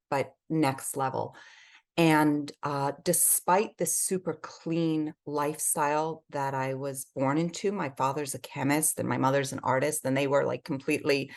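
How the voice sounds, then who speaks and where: A woman from Switzerland and England talking effusively and emphatically as a guest in a conversational interview. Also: low-pitched. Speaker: podcast guest, health advocate.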